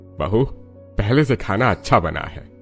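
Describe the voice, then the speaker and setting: A man's voice: fairly low pitch, animated, a little slow, a bit bright, soft background music. A man voicing an older, content, food-loving father-in-law in a cartoon family drama.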